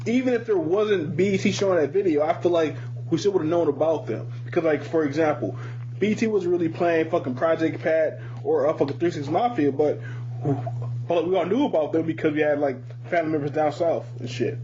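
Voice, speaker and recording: Higher-voiced man from Michigan, muffled audio. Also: fairly quick, dark tone. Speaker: podcast co-host. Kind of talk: casual chat. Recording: muffled lo-fi audio with background hum.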